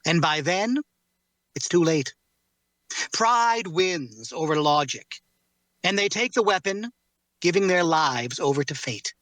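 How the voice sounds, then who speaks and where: A man playing a solemn, learned warrior who bears a cursed sword, speaking in a measured, formal way in a fantasy drama reading. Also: on the high side, animated, a bit bright, dry recording. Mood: grave, explanatory. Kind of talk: dramatic fantasy table read.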